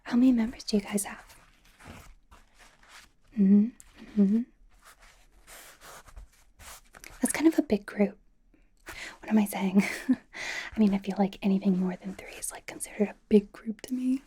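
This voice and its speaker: A woman's voice: medium pitch, normal speed, long pauses, mellow, breathy edge. A woman playing a bubbly, encouraging young K-pop idol in an ASMR personal-attention roleplay, whispering breathily in a rambling, giggly, reassuring way. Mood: friendly, soothing. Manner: rambling, giggly, reassuring, casual youthful phrasing.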